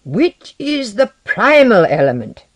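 A narrator acting out a medieval alchemist in a storybook, intense and courteous. He draws his words out slowly and swings widely in pitch, with grave, impassioned feeling. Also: sing-song, dark tone, breathy edge, no room sound, full character voice. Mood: intense, demanding.

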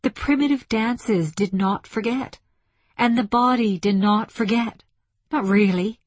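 Woman acting an intense, impassioned woman, husky. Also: a little high, unhurried, dry recording, voice pushed up. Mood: urgent, insistent. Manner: earnest, emphatic, declaiming. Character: intense, impassioned woman delegate awakening to alien insight.

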